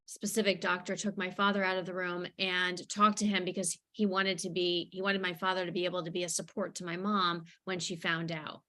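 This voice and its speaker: A woman's voice: fairly low pitch, little pitch movement, a little fast, few pauses, bright, forceful tone. A woman guest telling her personal story in a reflective way, leaning on key words. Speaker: guest speaker, author.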